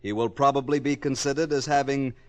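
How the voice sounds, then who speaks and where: An American man playing a detached, measured doctor who profiles criminals in a 1950s radio drama. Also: medium pitch, steady tone, lightly acted. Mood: clinical, detached. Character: clinical male doctor profiling a killer. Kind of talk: 1950 radio drama acting.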